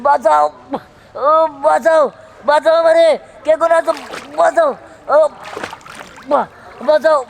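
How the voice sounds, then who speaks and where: A man acts out a lovesick, petulant young villager from a comedy drama. His voice is very high and complaining, full of melodrama. It is recorded on location with faint background noise. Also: little pitch movement, unhurried, gravelly, pauses often, full character voice. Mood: crying for help. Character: whiny lovesick village son, melodramatically threatening suicide.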